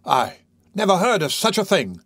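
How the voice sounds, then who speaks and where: A man voicing a jolly, eccentric, stout old bachelor doctor who blusters and fusses excitedly. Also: a little high, normal speed, no room sound. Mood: flustered.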